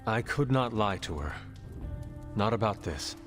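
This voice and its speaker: A fairly low, reverberant voice an earnest hero in a game cutscene. He speaks calmly and formally, weighing each phrase, with music behind. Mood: sober, candid. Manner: measured, formal, few contractions. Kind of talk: video game cutscene acting.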